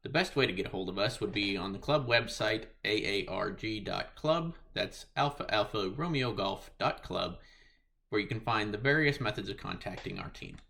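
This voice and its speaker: A man's voice: lowish voice, animated, normal speed, vocal fry, sharp tone. A man giving a scripted presentation as a member of a hobby club.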